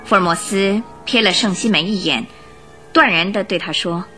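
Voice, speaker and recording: A woman reading scripted radio drama narration in an expressive storytelling manner. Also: medium pitch, moderate pace, soft background music.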